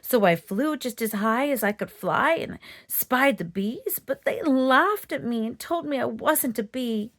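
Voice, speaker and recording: Casting: small young girl pegasus for a storybook, played by a woman, high, swooping voice, plaintive and earnest. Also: lively intonation, unhurried, hardly any pauses, a bit bright, no echo, studio quality. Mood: tearful, bewildered. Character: tiny young female winged horse, sad, searching, earnest. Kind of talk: children's storybook character voice.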